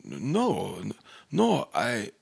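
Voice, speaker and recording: A man plays a frail, distraught professor in a radio melodrama. He falters and stammers at a slow pace, his husky, gravelly voice swinging widely in pitch. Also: huge pitch swings, darkish tone, heavily acted. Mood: weak, grieving. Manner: faltering, stammering, repeats words. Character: frail, badly injured, distraught male professor. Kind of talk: old-time radio melodrama acting.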